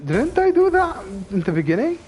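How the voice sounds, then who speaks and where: A man commentating his own play, thinking aloud, excitable and swearing often. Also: very high voice, moderate pace, slight background noise. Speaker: game streamer. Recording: loud game music behind.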